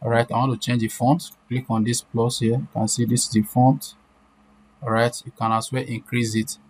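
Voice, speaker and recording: A man's voice: medium pitch, flat delivery, moderate pace, darkish tone, poor audio. A man presenting an informal step-by-step screen-share tutorial, repeating himself and often checking in with short questions. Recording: clear background noise.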